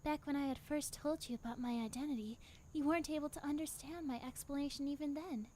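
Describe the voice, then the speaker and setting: Woman acting a gentle, high-voiced young female guide. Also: steady tone, fast talker, a little hiss or hum, lightly acted. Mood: gentle, assured. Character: grown young woman time traveller, gentle, guiding. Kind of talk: anime fan dub acting. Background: background music.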